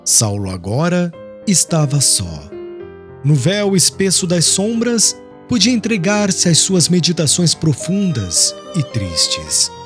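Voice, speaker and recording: A man narrating a literary audiobook in a solemn, expressive, scripted manner. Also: fairly high pitch, takes it slowly. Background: music.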